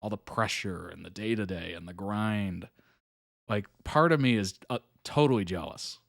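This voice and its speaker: A man's voice: on the low side, moderate pace, vocal fry, a bit bright, no room sound, clean studio sound. A young adult man hosting a live reaction stream, chatting casually and musing aloud with fillers and small chuckles. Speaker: reaction video host.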